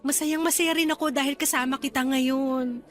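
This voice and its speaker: High-voiced, caring young aunt, acted by a woman. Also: steady tone, normal speed, lightly acted. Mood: concerned, tender. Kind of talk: radio drama acting in Tagalog.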